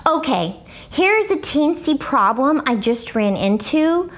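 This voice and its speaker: An excitable little schoolgirl played by a woman tells her storybook journal in a high voice. She is blunt and dramatic, swooping widely in pitch and taking her time with heavy, exaggerated emphasis. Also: unhurried. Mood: dramatic, earnest. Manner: childlike bad grammar, exaggerated emphasis. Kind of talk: expressive children's book read-aloud.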